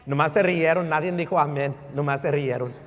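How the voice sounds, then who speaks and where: Brief: middle-aged Texan Spanish-accented male preacher, high voice, church reverb. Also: brisk. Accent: Texan Spanish with English code-switching. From Houston, Texas. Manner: animated preaching, mixes Spanish and English. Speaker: pastor. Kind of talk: sermon. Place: church sanctuary.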